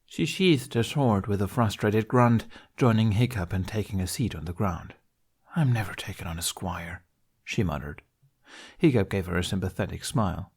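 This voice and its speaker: A man's voice: lowish voice, normal speed, a little mellow, slightly rough. A man reading scripted fan fiction aloud as an amateur story narrator.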